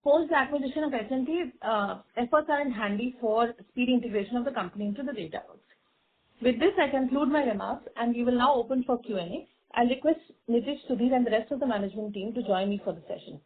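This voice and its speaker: A woman's voice: fairly high pitch, fast talker, breathy edge. Indian woman reporting on a tinny phone line. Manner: formal, reading figures with stumbles. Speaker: company executive presenting results. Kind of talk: scripted financial report on earnings call. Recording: tinny, band-limited conference phone line.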